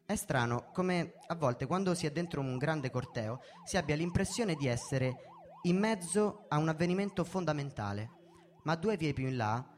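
A man reading a first-person story in a measured, theatrical way, as a dramatic narrative performance. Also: a little high. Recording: music behind the voice. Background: background music.

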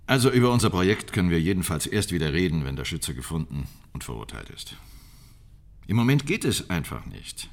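A man's voice: deep voice, very animated, brisk, gravelly, full character voice. A man acting in a German radio drama as an evasive state premier who placates a political ally in a confidential tone. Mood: placating.

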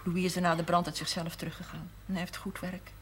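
An actress playing an earnest farmer's wife who now keeps a shop, confiding and at times near a whisper, in a 1970s TV drama. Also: female voice, fairly low pitch, little pitch movement, quick pace, a little hiss or hum, natural voice. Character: earnest farmer's wife turned shopkeeper, middle-aged woman. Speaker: shopkeeper's wife.

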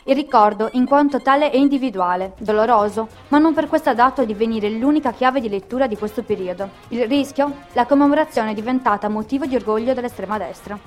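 Higher-pitched woman presenting radio history. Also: fast, hardly any pauses, faint music behind. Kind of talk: scripted radio narration.

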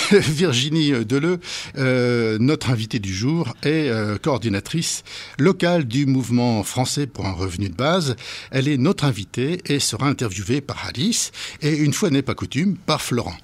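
Lively husky male radio host. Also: medium pitch, normal speed. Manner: playful, jokey, animated. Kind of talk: community radio presenting.

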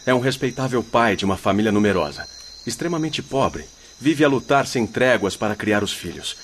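An actor playing a kindly, wise expedition leader in a radio drama, speaking in a formal, instructive way. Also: male voice, mid-range voice, animated, normal speed, lightly acted. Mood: earnest, explaining. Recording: faint noise with night crickets.

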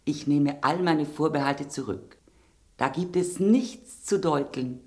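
A woman's voice: deep voice, takes it slowly, warm tone. A woman playing a self-satisfied, sensuous table knife in a radio drama, pompous and savoring each word. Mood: savoring, smug. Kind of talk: German radio drama acting.

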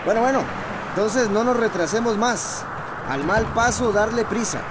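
An actor playing a wary but willing boat volunteer in a radio soap. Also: male voice, high voice, normal speed, close to an everyday voice. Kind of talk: radio soap opera acting. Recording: very loud outdoor engine noise.

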